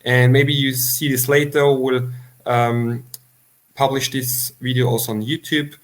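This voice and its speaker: A man hosts a livestreamed interview in mid-range pitch. He is a non-native English speaker, with halting and slightly unidiomatic phrasing as he addresses viewers. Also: level tone. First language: not English. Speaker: interview host.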